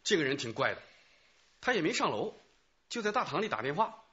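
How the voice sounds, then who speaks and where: A man's voice: on the high side, big pitch swings, fairly quick, bright, forceful tone. An actor playing a helpful company security guard in a radio drama, hesitant and colloquial as he recounts what he remembers. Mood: eager recollection. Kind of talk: Chinese radio drama acting. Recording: muffled band-limited radio drama audio.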